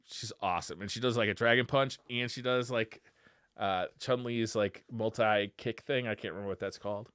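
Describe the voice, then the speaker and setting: A man's voice: on the low side, moderate pace, fairly bright tone, clean tone, no room sound, studio quality. A man co-hosting a casual retro gaming podcast, talking in a conversational way. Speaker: podcast co-host.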